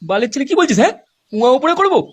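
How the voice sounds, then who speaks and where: An actor voicing a grumpy, foul-mouthed old grandfather in a comic cartoon, rough and scolding. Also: sits high, very animated, rapid-fire. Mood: irritated. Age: older. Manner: scolding, blustering.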